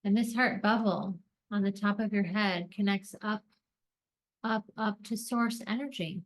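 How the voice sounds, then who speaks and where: Woman, meditation guide, slow delivery, gentle manner, mid-range pitch, short deliberate phrases, long pauses. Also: steady tone.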